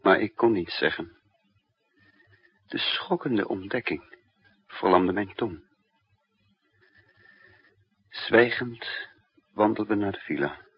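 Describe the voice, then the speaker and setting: An actor playing a weary, brooding male spy who narrates his own story with slow, deliberate drama in a 1960s radio play. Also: sits low, breathy edge, full character voice. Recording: dull, echoey old radio recording.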